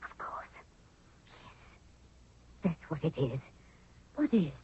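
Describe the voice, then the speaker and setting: An actress playing a motherly, knowing old queen in a 1970s radio drama, weary and deliberate. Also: low voice, sing-song, husky, exaggerated voice. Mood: weary, brooding. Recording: narrow, tinny 1970s radio recording.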